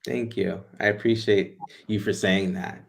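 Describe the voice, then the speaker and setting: A man's voice: on the low side, fairly even intonation, unhurried, creaky. A man speaking as a podcast guest, earnest and reflective, often repeating words as he gathers his thoughts. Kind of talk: interview conversation.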